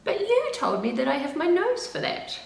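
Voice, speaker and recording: Woman voicing a high, excitable little bear cub. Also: normal speed, creaky, heavily acted. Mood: curious. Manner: eager, wide-eyed questioning and exclaiming. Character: curious, excitable, affectionate young male bear cub. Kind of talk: children's storybook character reading. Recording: roomy, faint background noise.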